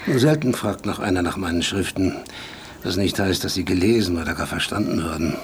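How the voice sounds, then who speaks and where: An actor playing a vain, world-weary philosopher-writer in a radio drama, sardonic, pompous and speaking in provocative aphorisms. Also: male voice, deep voice, a little fast, a little hiss or hum, close to an everyday voice. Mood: wry, flirtatious. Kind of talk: German radio drama acting.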